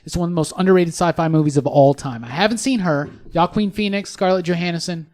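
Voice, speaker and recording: A man's voice: on the high side, fast, darkish tone. A man co-hosting a podcast in casual chat, with a playful, teasing manner. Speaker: podcast co-host.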